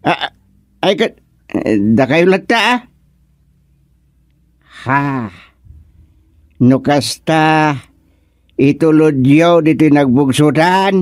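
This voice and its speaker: An actor playing an easygoing older village husband in a comic radio serial, drawling and chuckling with lots of grunts. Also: male voice, a little high, expressive, slow, pauses often, no echo, clean studio sound. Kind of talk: comic radio drama acting.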